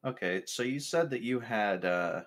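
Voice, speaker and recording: A man playing a tabletop roleplaying game, asking questions in a casual, methodical way with hesitant pauses. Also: medium pitch, lively intonation, a bit bright.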